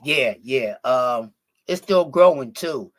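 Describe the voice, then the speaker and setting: Philly guy on a stream, swears a lot, rambles and restarts, mid pitch. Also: expressive, slow pace. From Philadelphia. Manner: profane, rambling, with many restarts. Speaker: stream guest. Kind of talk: casual chat.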